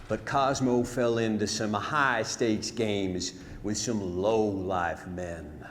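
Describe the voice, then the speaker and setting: A man performing a rhymed narrative ballad as a storyteller, with dramatic, folksy, emphatic delivery in a theatre. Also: lowish voice. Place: on stage in a theatre. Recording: hall reverb, noisy live theatre recording.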